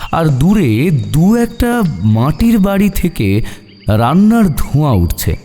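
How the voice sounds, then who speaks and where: A man reads a ghost story in dramatic, suspenseful style. His warm, dark-toned voice swings expressively and carries a long reverb, with eerie music and crickets behind it. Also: on the high side. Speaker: horror story narrator. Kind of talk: dramatic scripted narration.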